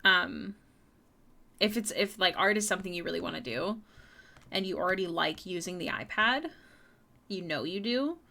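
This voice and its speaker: Bright-voiced young woman chatting while drawing. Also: lowish voice, normal speed, creaky, long pauses. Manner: chatty, stumbles and repeats words, laughs often. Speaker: streaming artist. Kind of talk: casual live-stream chat while drawing.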